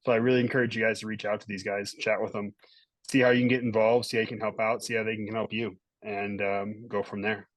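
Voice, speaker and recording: A man's voice: lowish voice, flat delivery, quick pace, dry recording. A man hosting a group call, speaking casually and encouragingly as a gym owner. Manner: encouraging, informal. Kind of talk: casual closing remarks on a group video call.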